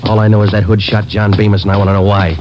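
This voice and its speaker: Very deep and quick, this is a man as a terse, hard-bitten police sergeant in an old radio crime drama. His lines are clipped and flat, and the dull lo-fi recording hisses clearly behind him. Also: lightly acted. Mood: curt. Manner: clipped, flat, matter-of-fact. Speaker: detective narrator.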